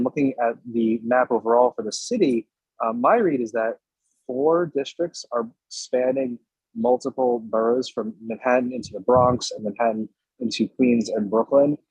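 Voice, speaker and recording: A hoarse man speaking up at a community board meeting, hesitant with many fillers but polite. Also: medium pitch, darkish tone, no echo, poor audio. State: hoarse from illness. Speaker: public commenter.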